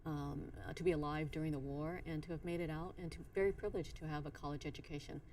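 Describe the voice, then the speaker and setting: Female professor guest from Vietnam, very low voice, slightly distant mic. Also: fairly even intonation, mellow, a little hiss or hum. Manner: measured, with frequent "um". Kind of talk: interview answers.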